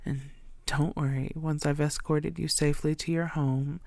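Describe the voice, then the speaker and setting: A woman playing an infatuated, predatory female vampire in an intimate audio roleplay, murmuring in a deliberate, theatrical and often breathy way. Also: low-pitched, little pitch movement, a little mellow, no room sound. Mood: sweet, coaxing.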